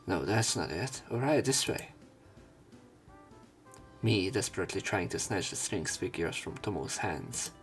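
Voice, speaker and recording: Male let's play narrator, low and creaky, reads game text aloud casually, faint music behind. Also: expressive, unhurried, long pauses. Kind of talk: reading a visual novel aloud with casual commentary.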